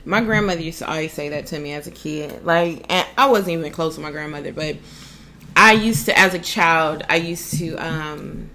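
A woman co-hosting a podcast, talking in a loud, blunt and profane way while giving relationship advice. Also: low-pitched, normal speed. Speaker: podcast co-host. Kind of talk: casual relationship advice chat.

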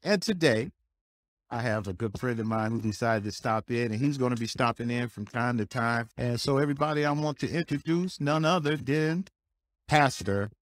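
A man of average pitch hosts an interview show, putting casual, lively questions to his guest. His speech is relaxed and conversational, with a dry, close mic sound. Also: normal speed, no room sound. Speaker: podcast host.

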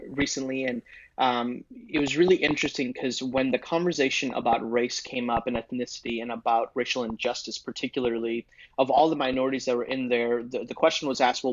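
A man's voice: mid-range voice, no echo, highs cut off. A man talking earnestly in a casual faith discussion, with many restarts. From Indian heritage, not thick accent. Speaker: podcast co-host, ministry team member.

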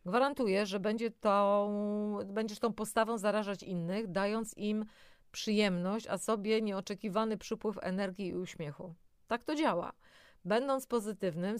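A woman's voice: mid-range voice, clear, resonant tone, dry recording, clean studio sound. A woman presenting a self-help talk in an encouraging way, speaking directly to the listener, partly from a script with spontaneous asides.

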